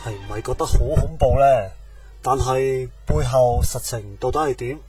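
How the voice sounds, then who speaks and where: A man's voice: mid-range voice, moderate pace, darkish tone, faint music behind. A man with a Hong Kong Cantonese accent making a scripted radio drama announcement as presenter. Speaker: presenter reading credits.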